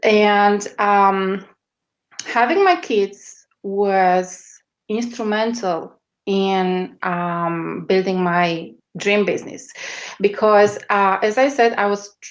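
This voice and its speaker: This is a woman with a strong Romanian accent, giving a guest interview over a video call. She talks slowly at a middle pitch. Her sentences stall on fillers and restarts while she looks for the words. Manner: hesitant, searching for words with many fillers. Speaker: guest, media strategist. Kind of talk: interview answers.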